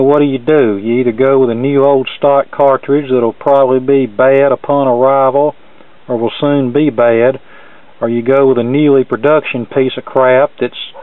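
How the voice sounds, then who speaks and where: A man's voice: mid-range voice, dry recording. A man narrating a hands-on repair in a casual, plainspoken, matter-of-fact way, as the host of an electronics repair video. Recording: narrow phone-like lo-fi sound.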